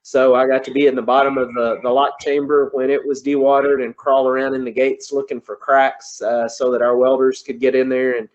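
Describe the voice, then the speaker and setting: Kentucky-accented presenter, 40s, male, easygoing, mid-pitched, slightly muffled call audio. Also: level tone, brisk, hardly any pauses. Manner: folksy, conversational, says y'all. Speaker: guest presenter, engineer. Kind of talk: online presentation. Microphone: computer on a video call.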